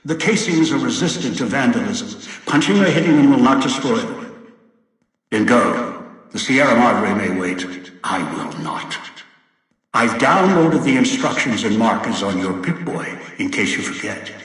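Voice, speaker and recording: An actor playing a cold, controlling old villain in a video game, speaking in a deliberate, commanding and menacing way. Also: male voice, medium pitch, expressive, a bit bright. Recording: very echoey, distant, long reverb.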